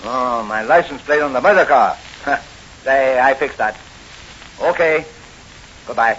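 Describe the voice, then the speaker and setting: A man's voice: mid-range voice, a little slow, a bit bright, close to an everyday voice. An actor playing a shifty, blustering gangster bar owner with slangy tough-guy talk in 1940s radio style. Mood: scheming, bossy. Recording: muffled, hissy old radio recording.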